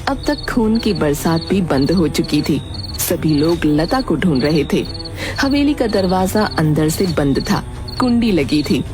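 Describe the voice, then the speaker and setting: A woman narrating a scripted animated story as its storyteller. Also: deep voice, measured pace, music in the background.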